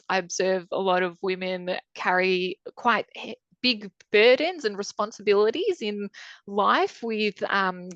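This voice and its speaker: A woman's voice: medium pitch, a bit bright, no room sound. Woman, author guest, chatty, thoughtful, frequent ums, muffled dry audio. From Croatia. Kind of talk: casual interview answers.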